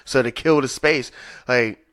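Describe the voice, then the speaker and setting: A man's voice: medium pitch, unhurried, a bit bright, smooth. A man in his thirties in laid-back podcast chat, reminiscing in long run-on explanations. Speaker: podcast co-host. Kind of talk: casual chat.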